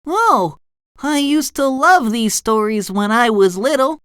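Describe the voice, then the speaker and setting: A woman voicing an excitable, naive young male sea sponge from a cartoon, eager and wide-eyed, in a children's storybook. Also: on the high side, a little slow, clean tone, dry recording, studio quality, voice pushed up. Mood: delighted. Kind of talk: children's storybook character reading.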